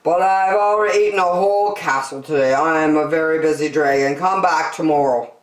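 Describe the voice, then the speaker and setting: A woman's voice: low-pitched, brisk, natural voice. Woman putting on a boastful storybook dragon in an echoey room. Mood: boastful, dismissive. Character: huge, boastful, busy male dragon who eats princesses. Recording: roomy indoor echo.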